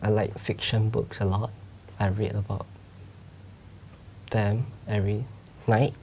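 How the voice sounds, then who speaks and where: A man's voice: fairly low pitch, little pitch movement, unhurried, vocal fry, dark tone, slight background noise. A young man from Malaysia answering exam questions hesitantly with many fillers. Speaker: exam candidate.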